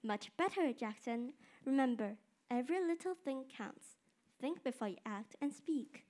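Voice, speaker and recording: A girl plays a patient, kindly fairy who explains things gently in a school radio drama. The voice sits high, and the lines are clear and carefully spoken. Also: expressive, moderate pace. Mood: instructive. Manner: gentle, instructive. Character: kindly fairy with light blue wings, patient.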